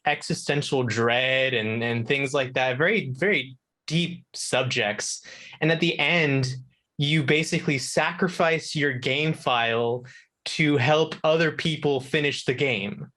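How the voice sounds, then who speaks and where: A man's voice: on the high side, a little slow, sharp tone. A young man chatting casually as a talk show guest, earnest and a little hesitant. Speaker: guest contributor on video games.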